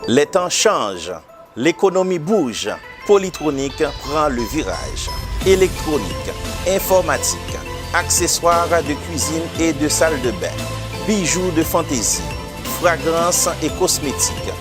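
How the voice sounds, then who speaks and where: A man's voice: on the high side, big pitch swings, measured pace. A man reading a scripted commercial in a punchy, promotional way, rattling off lists. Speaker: ad announcer. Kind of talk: scripted radio ad read. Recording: voice over clear music bed.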